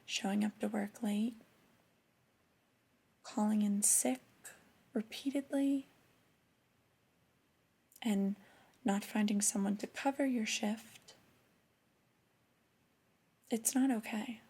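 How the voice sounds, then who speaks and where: A woman playing a displeased but calm female boss in a soft-spoken ASMR roleplay, with a breathy voice and measured, pausing delivery. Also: mid-range voice, exaggerated voice. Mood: stern, measured. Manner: hesitant, measured, with drawn-out pauses.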